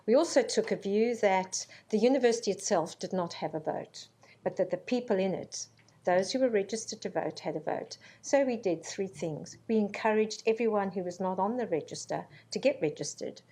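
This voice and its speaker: A middle-aged woman born in Zambia giving a formal conference welcome speech, measured and earnest, with frequent ums. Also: mid-range voice, dark tone, breathy edge. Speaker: university vice-chancellor. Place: conference hall.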